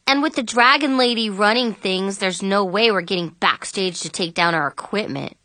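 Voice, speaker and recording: A woman's voice: mid-range voice, moderate pace, sharp tone, no room sound, lightly acted. A woman playing a casual, breezy teenage boy with an offhand manner in an audiobook.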